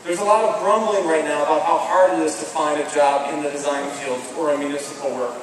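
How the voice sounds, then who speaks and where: A man giving a formal commencement address from a podium, speaking in a measured, earnest, deliberate way. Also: on the high side, fairly even intonation, a crowd in the background. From American Midwest. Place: large stone hall with flags, indoors. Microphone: podium microphone, filmed from far off. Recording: echoey hall from far off, audible background noise.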